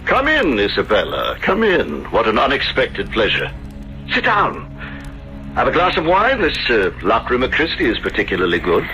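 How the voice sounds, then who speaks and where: Middle-aged male schemer, courtly and theatrical, forceful edge, 1970s radio drama, dull old broadcast with music. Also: medium pitch, wildly swooping pitch, moderate pace. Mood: suave welcome. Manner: theatrical, courtly, hesitating for effect. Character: smooth, scheming middle-aged Italian gentleman hiding a secret.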